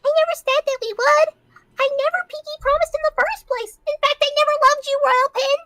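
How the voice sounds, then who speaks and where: A woman's voice: very high voice, fairly even intonation, brisk, bright, forceful tone, no echo, voice pushed up. A woman voicing a fragile, hurt female cartoon pony in a dramatic, pleading manner. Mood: indignant.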